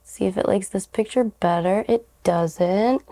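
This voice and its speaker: A young woman with a raspy, hoarse voice from a cold leads a casual tarot reading. Her tone is mellow and warm. She rambles in a giggly way, filling her pauses with "like" and "um". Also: medium pitch. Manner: rambling, giggly, many fillers like "like" and "um". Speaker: tarot reader, channel host.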